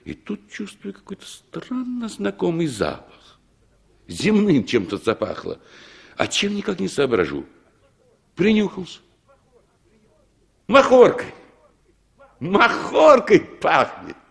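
An actor playing a middle-aged former war commander who recalls his survival with unhurried, expressive pauses, in a 1960s radio drama style. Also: high-pitched, vocal fry, breathy edge, heavily acted. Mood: wondering.

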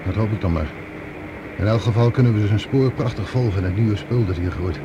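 Low-voiced, worried spaceman played by an actor. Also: fairly quick, dull audio, heavy background noise, natural voice. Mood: cautious. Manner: hesitant, uneasy. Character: cautious, worried male spaceman, reluctant sidekick. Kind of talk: radio drama acting.

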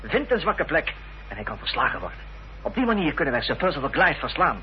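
An actor playing a determined gentleman investigator, formal and reasoning, in a period radio drama. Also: fairly high pitch, sing-song, fast. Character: earnest, determined gentleman investigator in Victorian mystery. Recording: dull, noisy, humming old radio recording.

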